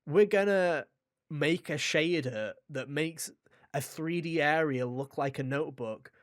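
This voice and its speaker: A man with a somewhat high voice chats casually as a gaming podcast host. He is enthusiastic and rambles from one thought to the next, swearing freely along the way. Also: a little slow. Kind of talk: casual video game discussion.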